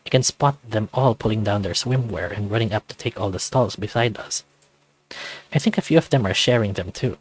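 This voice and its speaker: Low-voiced, playful wolf guy read by a man. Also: husky, rough recording. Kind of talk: first-person visual novel narration and dialogue.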